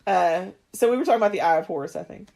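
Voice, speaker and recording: A woman's voice: on the low side, rapid-fire, dark tone, slight background noise. A woman in her thirties chatting casually as a podcast co-host, rambling and restarting often. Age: 30s. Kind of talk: casual chat.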